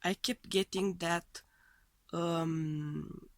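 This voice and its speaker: A woman's voice: on the low side, fairly even intonation, very slow, no echo, clean studio sound. A woman giving a weekly tarot love reading, her voice thrown off by a heavy cold, phrasing things tentatively as she reads the cards. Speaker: tarot card reader.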